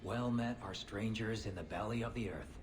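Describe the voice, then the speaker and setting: An actor playing a gruff, hardy dwarf explosives expert in a fantasy game, salty and plain-spoken. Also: male voice, on the low side, moderate pace, natural voice.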